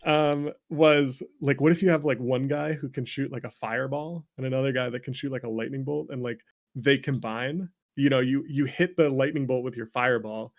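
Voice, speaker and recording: A man's voice: mid-range voice, fairly even intonation, moderate pace. A man talking casually as an interview guest about his work as a game developer, rambling and joking at his own expense. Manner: rambling, self-deprecating, jokey. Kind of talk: casual chat.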